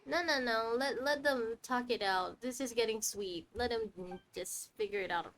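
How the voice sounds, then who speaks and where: A woman's voice: on the high side, moderate pace, a crowd in the background. A woman giving casual live gameplay commentary, chatty and playful as she reacts aloud to the game. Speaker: game streamer. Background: game music.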